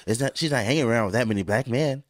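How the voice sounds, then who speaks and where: A man's voice: medium pitch, very fast, no room sound. A young man co-hosting a podcast, joking and rambling in loose casual banter from a small studio. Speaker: podcast co-host. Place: small home studio, indoors.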